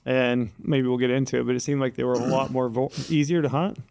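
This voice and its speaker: A man's voice: medium pitch, steady tone, quick pace, creaky, a little mellow. A man co-hosting a podcast, asking curious questions and joking along. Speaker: podcast co-host. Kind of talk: casual podcast chat.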